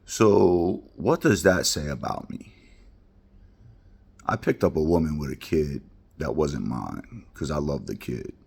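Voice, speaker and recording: A man's voice: rumbling low, expressive, takes it slowly, gravelly, darkish tone, slightly rough. A middle-aged man from Queens, talking bluntly and heartfelt in a rambling personal monologue. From Queens, New York. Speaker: vlogger.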